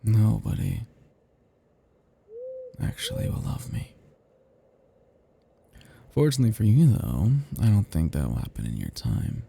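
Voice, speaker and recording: A man's voice: on the low side, wildly swooping pitch, dark tone, a little hiss or hum, heavily acted. A man playing a melancholy, possessive vampire in an ASMR roleplay, murmuring intimately and often dropping into whispers. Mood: melancholy, bitter. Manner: slow, intimate, breathy murmuring that drops into whispers. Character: seductive, melancholy, possessive male vampire, self-loathing immortal predator.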